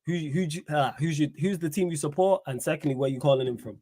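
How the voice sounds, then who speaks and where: A man's voice: fairly high pitch, fast talker, mellow, dry recording. Chatty man hosting a call-in. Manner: laid-back, chatty, encouraging callers. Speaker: call-in show host. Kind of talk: casual chat.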